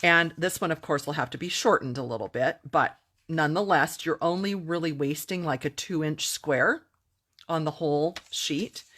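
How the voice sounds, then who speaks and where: A woman's voice: sits low, moderate pace, a bit bright. A woman chatting upbeat through a live-streamed craft tutorial, thanking viewers and answering comments as she works. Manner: upbeat and enthusiastic. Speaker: craft demonstrator.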